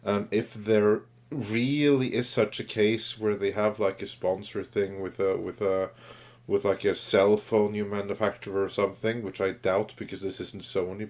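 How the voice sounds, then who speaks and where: Matter-of-fact lower-voiced man. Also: flat delivery, a little slow. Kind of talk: casual chat.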